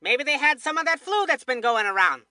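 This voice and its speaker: Folksy old timey cartoon baseball announcer geezer, high sharp voice, video game commentary. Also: sing-song, fairly quick, no room sound, studio quality, exaggerated voice. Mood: matter-of-fact. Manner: folksy, old-timey phrasing, addressing the listener as sonny. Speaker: sports commentator.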